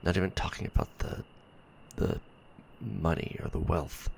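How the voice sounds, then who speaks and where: An actor playing a weary, bitter stranded mech warrior, muttering a sci-fi audio log in a breathy, gravelly murmur with sighs and hesitant pauses. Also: male voice, deep voice, fairly even intonation, slight background noise. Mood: sardonic frustration. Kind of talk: sci-fi ASMR roleplay audio log monologue.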